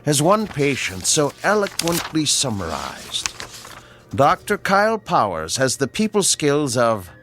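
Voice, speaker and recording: An actor playing a mysterious male stranger who speaks in a slow, measured and formal way in a radio drama. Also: fairly high pitch, huge pitch swings, a bit bright, soft background music, exaggerated voice. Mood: stern.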